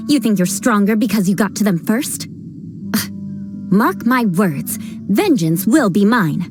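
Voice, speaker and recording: A woman's voice: mid-range voice, lively intonation, slow pace, long pauses. An actress playing a proud young noblewoman knight captain who talks with dry haughtiness and vows vengeance over every little slight. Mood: scornful. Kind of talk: video game voice acting. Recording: game dialogue with background music.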